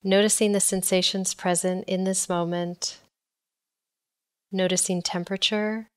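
A woman leading a guided body scan meditation in a calm, measured, instructional way. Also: medium pitch, smooth.

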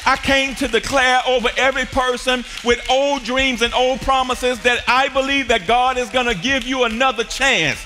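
A high-pitched, bright-toned man preaches from a church stage, swinging from quiet stretches to shouted peaks and calls for the congregation to answer. His voice rings through a large hall with a long reverb tail. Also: fairly even intonation, moderate pace, hardly any pauses, faint music behind. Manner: preaching with rising shouts and call-and-response. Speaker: pastor. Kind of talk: sermon.

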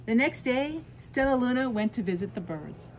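A woman's voice: on the high side, lively intonation, moderate pace, poor audio. A woman in her sixties reading a children's picture book aloud expressively, recorded indoors a little way from the mic. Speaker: storytime reader. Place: small bookstore, indoors. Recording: faint background noise.

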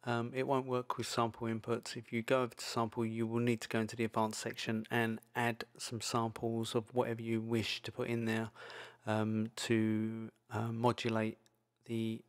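A man presenting an informal software tutorial, speaking in a hesitant, loosely improvised way. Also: mid-range voice, completely flat delivery, moderate pace. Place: indoors, screen-recording voice-over. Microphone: microphone into audio software.

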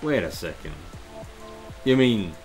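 A middle-aged man giving casual playthrough commentary and reading dialogue, speaking in a hesitant, halting way. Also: on the low side, expressive, darkish tone. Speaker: gaming video creator. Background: game music and rain ambience.

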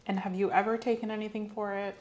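A woman in her thirties, soft-spoken and methodical, playing a medical examiner in an ASMR roleplay. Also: mid-range voice, fast. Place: small indoor room set up as an exam room. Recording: clear steady background noise and room ambience.

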